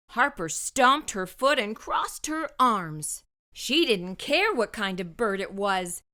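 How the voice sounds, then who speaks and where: A woman reading a children's story aloud as an expressive narrator. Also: fairly high pitch. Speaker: children's book reader.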